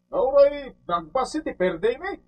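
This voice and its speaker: An actor in a radio comedy drama playing an excitable sidekick labourer who heckles and complains repetitively. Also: high-pitched, unhurried. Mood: complaining. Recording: dull lo-fi sound with music bed.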